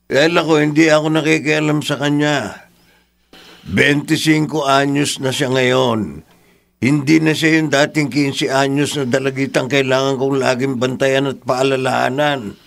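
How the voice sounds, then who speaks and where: A man's voice: medium pitch, fairly even intonation, measured pace, vocal fry, fairly bright tone. A male actor playing a guilt-ridden middle-aged father in a radio drama, with a Filipino accent. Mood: resigned, defensive. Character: humble, guilt-ridden father of a grown daughter.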